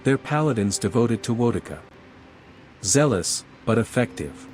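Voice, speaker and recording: A man playing a resolute imperial envoy hero in a video game, terse and measured. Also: medium pitch, big pitch swings, darkish tone, close to an everyday voice. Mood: cool, composed. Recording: clear background game music.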